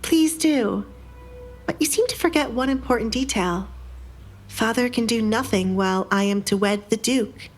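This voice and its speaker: A woman's voice: on the high side, normal speed, voice pushed up, lightly acted. A woman voicing a wronged young noblewoman in an audio drama, stern, defiant and vengeful. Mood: scornful. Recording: background music under the voice.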